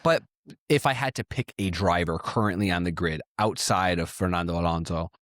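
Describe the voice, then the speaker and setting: A man with a very low voice, whose first language is Italian, chats casually. He talks in an animated way, often breaking off and restarting his sentences. The sound is close and dry. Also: normal speed, no room sound. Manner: animated, thinks aloud with restarts. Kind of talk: casual chat.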